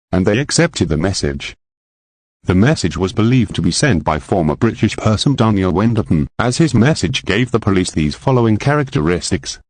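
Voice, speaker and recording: A man's voice: low voice, dry recording. A man playing a television national alert announcer, reading a scripted bulletin in a formal, official, impersonal way. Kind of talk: scripted emergency news bulletin.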